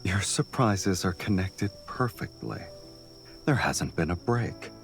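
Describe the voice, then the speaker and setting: Man playing a low-voiced, suave, teasing love interest. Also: slow pace, vocal fry, exaggerated voice. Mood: teasing, assured. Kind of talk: scripted dubbed game dialogue. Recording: music behind the voice.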